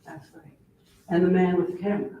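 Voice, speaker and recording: Upbeat, high-voiced man hosting introductions. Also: flat delivery, fairly quick, phone-quality sound. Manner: upbeat, welcoming, laughs often. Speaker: event moderator. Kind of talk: introducing attendees at a live event. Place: meeting room at a live event. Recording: echoey room from a distance, faint hiss.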